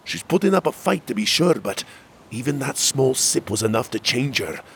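A man's voice: medium pitch, big pitch swings, normal speed, gravelly, fairly bright tone, slight background noise, pitched above the performer's own voice. A man voicing a stern exiled royal warrior in a fantasy story, with a grave, commanding manner. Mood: grave warning.

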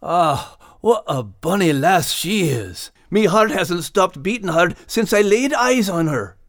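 A plucky Scottish dog from an animal storybook, speaking in folksy dialect. His voice is pitched high and swings with animation, earnest throughout, in a close dry recording. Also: sing-song, takes it slowly, no room sound, heavily acted. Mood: humble, grateful. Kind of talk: audiobook character acting.

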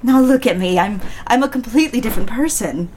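An actress playing a devoted, anxious young bride-to-be in a period audio drama, speaking earnestly and properly. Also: female voice, fairly high pitch, expressive, fast talker, a little mellow, a little hiss or hum, close to an everyday voice. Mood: earnest, defiant.

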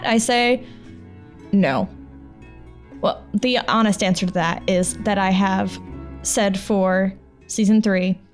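A woman hosting a podcast, talking in a chatty, informal and reassuring way. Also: mid-range voice. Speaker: podcast host and audio drama producer. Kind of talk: casual podcast talk over music. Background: plucked guitar music.

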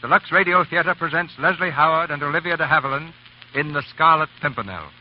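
A man presenting a radio theatre in formal 1930s style, narrating in a grand, deliberate, dramatic manner. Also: a little high. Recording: muffled 1930s radio recording, music behind.

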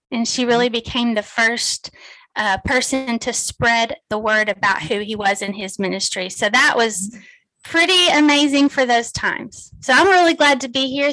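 A middle-aged woman with a Texan accent talks as a guest on a video call, friendly and quick to laugh. Her voice is bright and fairly high, and the sound is close and dry. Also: dry recording, rough recording. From Texas. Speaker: guest author and speaker. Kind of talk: casual podcast interview chat.

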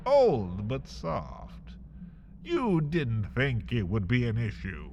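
A man hosting and narrating a scripted comic horror piece, theatrical and drawn out, swinging from whispers to shouts. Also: mid-range voice, very animated, slow, dull audio, background noise. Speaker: host and narrator.

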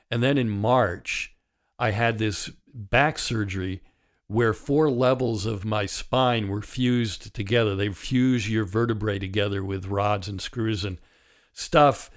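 A man in his sixties hosts his solo podcast in a raspy, slightly low voice. A partly paralyzed vocal cord leaves his tone rough. He talks candidly and wryly, in a loose conversational way. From United States. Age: 60s. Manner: candid, wry and conversational, with chuckles. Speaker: podcast host. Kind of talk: reflective solo podcast monologue.

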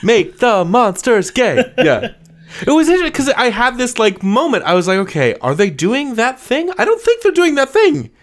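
A woman's voice: a little high, big pitch swings, normal speed. A woman acting as interviewer, chatting in a casual, informal way. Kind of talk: casual chat.

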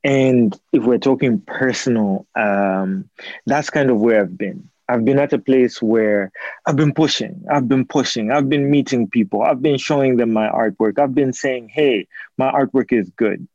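A young man from Zambia answers interview questions slowly and thoughtfully, pausing on fillers and restarting his sentences. His voice sits at a middling pitch. The recording is dry and a little dull. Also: darkish tone, no room sound. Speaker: artist and PhD student.

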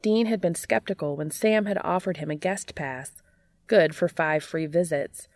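A woman's voice: lowish voice, moderate pace, darkish tone, no room sound. A woman hosting a podcast and reading fan fiction aloud as scripted, audiobook-style narration. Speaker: podcast host and reader.

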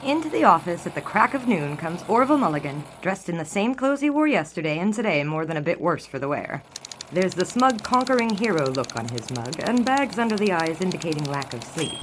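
Casting: female actor as sardonic 1920s newsroom secretary, lowish voice, dry and efficient, audio drama with noisy office behind. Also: very animated, a little fast. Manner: dry, clipped, sarcastic, efficient. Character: brisk, sardonic 1920s newspaper office secretary, long-suffering. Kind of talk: scripted period audio drama dialogue.

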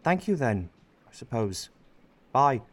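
A man's voice: mid-range voice, big pitch swings, slow pace, in a large hall, noisy background. An actor playing a cynical, ambitious, two-faced male politician in a radio play, grumbling and sardonic. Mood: flustered. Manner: sardonic grumbling, swears freely.

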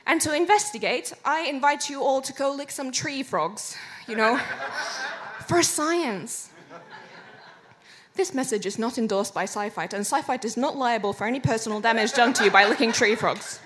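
A woman's voice: a little high, lively intonation, moderate pace, noisy background. A woman with a Finnish accent giving a mock-formal comic debate speech into a stage microphone, breaking into laughter. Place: live bar stage with audience.

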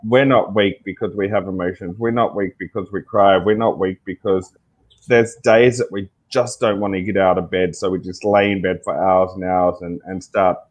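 Low-pitched Australian man, earnest. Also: steady tone, normal speed, rough recording. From Tasmania, Australia. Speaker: interview guest, mental health advocate. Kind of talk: earnest conversational interview.

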